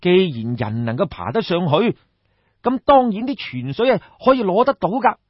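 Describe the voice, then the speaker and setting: A man's voice: high voice, very animated, normal speed, breathy edge, no room sound, highs cut off, exaggerated voice. A man with a Cantonese accent voicing a brash, crafty young schemer, exclamatory and coaxing, in a storybook tale. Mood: coaxing, insistent.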